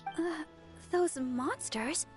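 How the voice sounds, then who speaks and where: Very high-voiced, timid young healer. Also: female voice, extremely slow, faint music behind. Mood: hesitant. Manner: hesitant, sweet, earnest. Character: gentle, timid young female church healer. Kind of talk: anime video game voice acting.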